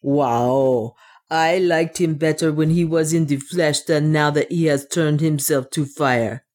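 A woman narrator plays a fearful, superstitious dwarf servant from an adventure storybook. The voice is low, and he talks in old-fashioned, self-pitying and deferential phrases. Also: measured pace, a little mellow. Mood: gloomy, fearful.

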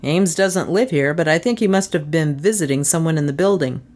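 Woman playing a deadpan, wry male private eye. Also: low-pitched, brisk. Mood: matter-of-fact. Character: cool, unflappable, wry male private detective.

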